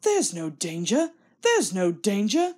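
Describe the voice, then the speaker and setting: A woman's voice: medium pitch, takes it slowly, slightly rough, exaggerated voice. A woman voicing a cheeky, naughty little male tank engine in a children's storybook. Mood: defiant. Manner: cheeky, lively.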